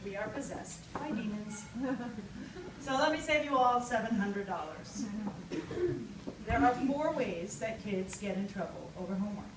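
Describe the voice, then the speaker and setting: A woman reading a humorous personal essay to an audience, theatrical and emphatic, with deliberate pauses. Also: medium pitch, animated. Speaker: writer reading own essay. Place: live reading at an event. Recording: echoey, distant live recording with loud noise.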